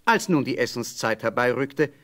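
Animated male fairy-tale narrator. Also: medium pitch, moderate pace.

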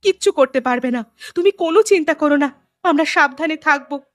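Woman acting a very high-pitched village wife. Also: brisk, dry recording, lightly acted. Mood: anxious. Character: distressed village wife in secret affair. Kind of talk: Bengali horror cartoon dialogue.

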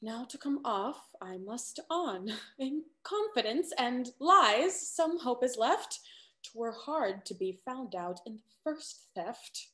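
A woman acts out a young Restoration gentlewoman, high-voiced and slow to deliver each line. She is flustered and scheming, with an arch, theatrical manner fit for stage comedy. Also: sing-song, heavily acted. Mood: resolute. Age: young adult. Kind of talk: Restoration comedy stage acting over video call. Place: small room at home. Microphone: video call.

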